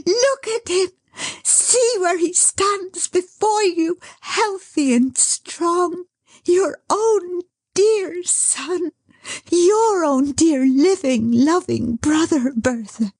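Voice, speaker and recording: A high, fluttering young wife, as the woman reading puts her on, talking fast and breathlessly when news arrives. Her voice swoops up and down and carries a husky, breathy edge. She sounds close and dry, like a storybook reading. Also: big pitch swings, mellow, no room sound, heavily acted. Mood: ecstatic. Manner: rushed, fluttering, high-strung.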